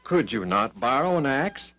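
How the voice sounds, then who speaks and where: Male storybook narrator, highly varied intonation, dramatic read for children, soft music bed underneath. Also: moderate pace. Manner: animated, dramatic, voices the characters. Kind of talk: expressive scripted storybook narration.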